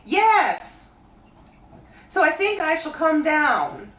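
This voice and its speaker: A woman voicing a gentle, simple-minded male teddy bear in a storybook reading, speaking in a slow, earnest loud whisper. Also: high voice, big pitch swings, voice pushed up, exaggerated voice. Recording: roomy, distant mic.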